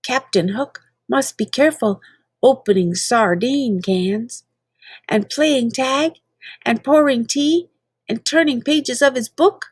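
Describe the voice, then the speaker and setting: Woman, high-pitched, unhurried, playful dramatic read-aloud of children's verse, drawn-out emphatic words, close dry sound. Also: slightly rough, dry recording. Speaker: reader of children's poems.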